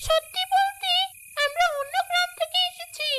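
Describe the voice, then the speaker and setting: A woman's voice: extremely high pitch, normal speed, loud music behind the voice. A woman voicing a snake disguised as a thirsty, innocent little girl in a cartoon. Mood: innocent pleading. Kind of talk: dubbed cartoon dialogue.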